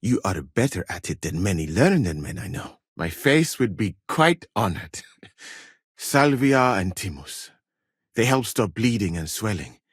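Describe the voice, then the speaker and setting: An actor playing a courteous, learned physician from Mali in a medieval video game, speaking in formal, measured phrases with gentle gallantry. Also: male voice, lowish voice, sing-song, husky, dry recording, clean studio sound, heavily acted. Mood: friendly, composed.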